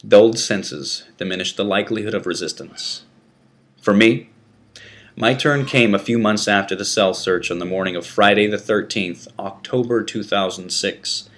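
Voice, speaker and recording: A man narrating a written first-person memoir as a scripted reading, with a deliberate, dramatic delivery. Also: on the low side, fairly bright tone.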